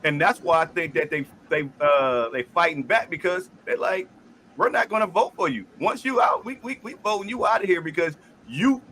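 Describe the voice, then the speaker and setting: Panelist: bright, slightly high man, halting delivery, faint hiss behind. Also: expressive. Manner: halting, thinking aloud. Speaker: podcast panelist. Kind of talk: casual sports chat.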